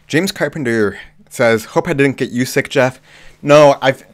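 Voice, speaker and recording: A man hosting a live movie chat, slightly hoarse with a cough, talking casually with lots of ums. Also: mid-range voice, measured pace. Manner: relaxed, frequent ums and restarts. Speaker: livestream host. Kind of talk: casual live chat reading viewer comments.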